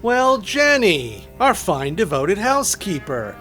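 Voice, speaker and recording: An actor playing a cold, greedy schemer with a mocking, condescending delivery in old-time radio style. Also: male voice, high voice, big pitch swings, slow, fairly bright tone, soft background music, exaggerated voice. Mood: menacing, mocking.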